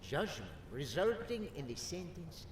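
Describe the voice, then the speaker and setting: An actor in an audio drama playing a solemn public official, declaiming proclamations ceremoniously. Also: male voice, a little high, big pitch swings, unhurried. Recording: distant, echoing, noisy outdoor ambience.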